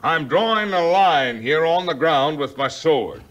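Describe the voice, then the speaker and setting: A resolute military commander with a bright, forceful voice that moves widely in pitch. He speaks formally and declaims his orders in old radio-drama style. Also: lively intonation, unhurried, slight background noise. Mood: solemn, resolute. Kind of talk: 1950s radio-style adventure acting.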